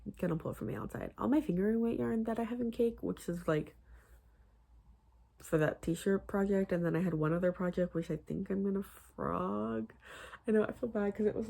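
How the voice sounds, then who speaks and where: A young woman chatting casually about her crochet projects, rambling with many fillers and restarts, her voice a little husky. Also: fairly low pitch, fairly quick, dark tone. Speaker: crochet vlogger. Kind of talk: casual chat.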